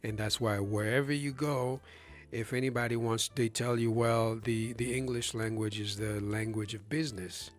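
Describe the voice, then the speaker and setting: A man with a middle-pitched voice hosts a casual live stream. He argues emphatically, leans on rhetorical questions and often stumbles over and restarts his words. Faint music plays softly behind him. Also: level tone, normal speed. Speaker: livestream host.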